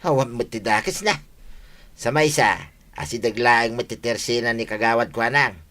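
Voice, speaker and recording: A man's voice: medium pitch, moderate pace, bright, forceful tone. A man playing a nagging, argumentative villager in a comedic radio drama, with an Ilocano Philippine accent. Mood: fussing.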